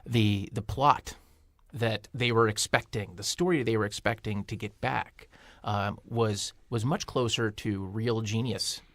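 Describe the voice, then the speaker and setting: A man giving casual film commentary on a panel, with a halting, wry delivery. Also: fairly low pitch, a bit bright, dry recording. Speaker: podcast panelist.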